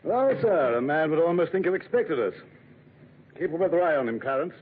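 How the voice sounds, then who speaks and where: An actor playing a pompous, blustering old sea commodore, a villain in a 1940s radio drama. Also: male voice, a little high, sing-song, fairly quick. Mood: commanding. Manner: pompous, theatrical, nautical bluster. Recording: tinny, faintly noisy vintage radio recording.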